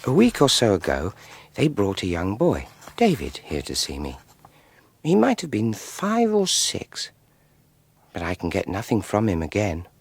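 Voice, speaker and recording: An actor playing a solemn, disdainful scientist regressed to boyhood in a radio drama, musing aloud in a halting, brooding manner. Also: male voice, fairly low pitch, huge pitch swings, creaky, breathy edge, full character voice. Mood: wistful, musing.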